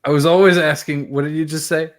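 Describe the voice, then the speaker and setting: A man's voice: fairly high pitch, fairly even intonation, quick pace. A man from Germany, a native German speaker, chatting casually in English and making jokes. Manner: joking, punning. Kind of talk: casual chat.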